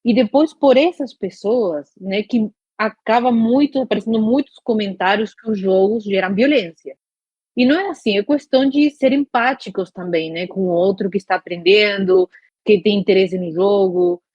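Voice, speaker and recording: A woman's voice: medium pitch, lively intonation, moderate pace, a little mellow, slightly rough, no room sound. A woman from Argentina, talking frankly and venting in a casual podcast chat. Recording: dull lo-fi dry recording.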